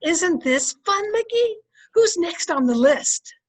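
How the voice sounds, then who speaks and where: Radio comedy role, actress: patient wife, high-pitched, cheerful and gently corrective. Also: female voice, big pitch swings, slow, heavily acted. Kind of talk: old-time radio comedy acting.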